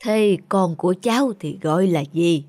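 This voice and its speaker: A woman voicing a grave, wise old father who questions and moralizes in a measured way in an animated folk tale. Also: on the low side, a little hiss or hum, close to an everyday voice. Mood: puzzled, probing.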